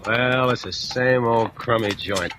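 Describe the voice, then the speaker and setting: An actor playing a cocky, insolent grown son with a mocking, slangy manner in a 1940s radio drama. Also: male voice, medium pitch, a little slow, background noise, natural voice. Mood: sneering, contemptuous. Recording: vintage 1940s radio, music behind.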